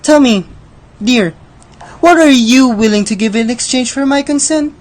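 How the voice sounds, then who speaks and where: Haughty, teasing Death, acted by a woman, high-voiced. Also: moderate pace, a little mellow, a little hiss or hum, natural voice. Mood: haughty, mocking. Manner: theatrical, condescending. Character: Death, teasing haughty gambler, sly and scornful. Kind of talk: radio drama acting.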